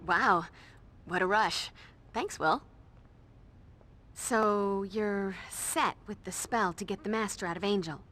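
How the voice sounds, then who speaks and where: A woman's voice: mid-range voice, takes it slowly, long pauses, fairly bright tone. An actress playing a tough young female vampire slayer who talks in a dry, sarcastic, commanding way. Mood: wry. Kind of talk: video game voice acting.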